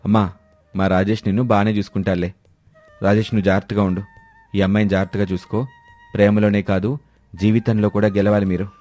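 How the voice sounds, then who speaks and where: A man voicing a dutiful, protective elder son who speaks respectfully and earnestly in an animated moral story. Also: fairly low pitch, fast, pauses often, soft background music, close to an everyday voice. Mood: reassuring.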